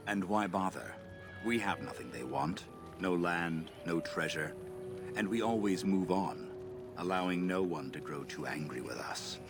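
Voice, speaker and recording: An actor playing a grave, proud elven elder in a video game, speaking in a measured, formal, solemn way. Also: male voice, deep voice, animated, vocal fry, heavily acted. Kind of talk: video game dialogue, solemn lore telling. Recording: background music under the voice.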